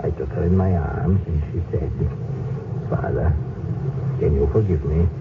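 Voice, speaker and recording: A grieving Roman father in an old radio drama, speaking very deep and halting. His words come slow and solemn. The sound is narrow and phone-like, buried in hiss. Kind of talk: 1970s radio drama acting. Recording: tinny, hissy old radio broadcast.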